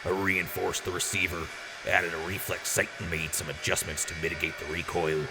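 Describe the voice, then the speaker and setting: A man's voice: flat delivery, a little fast, loud music behind the voice. A man playing a boisterous, generous wasteland quartermaster in an audio drama, with a hyped showman's delivery. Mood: proud, easygoing. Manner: hyped showman with booming catchphrase outbursts. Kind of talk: post-apocalyptic audio drama acting. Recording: noisy, reverberant mix.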